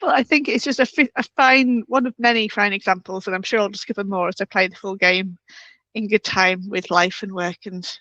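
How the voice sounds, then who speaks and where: A woman's voice: mid-range voice, fairly even intonation, fairly quick, pushed and bright, no echo, highs cut off. A woman interviewer chatting enthusiastically in an effusive, giggly, rambling way.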